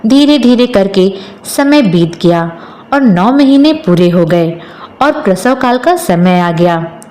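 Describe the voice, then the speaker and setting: A woman's voice: mid-range voice, animated, slow, a little mellow, echoey room. A woman storyteller reading a scripted moral tale as narration.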